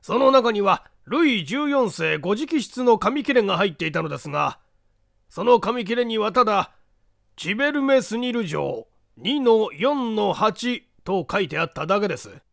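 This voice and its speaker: A man acts out a genteel lord of an old castle in an audiobook. His voice is high and very lively in intonation as he formally unfolds old secrets. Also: gravelly, no echo, clean studio sound, exaggerated voice. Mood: explanatory. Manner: formal, measured storytelling. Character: courteous male castle owner, explaining old secrets.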